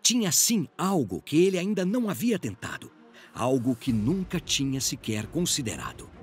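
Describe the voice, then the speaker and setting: A man's voice: medium pitch, huge pitch swings, normal speed, noisy background. A man with a Brazilian accent narrating a scripted story in a dramatic audio drama style.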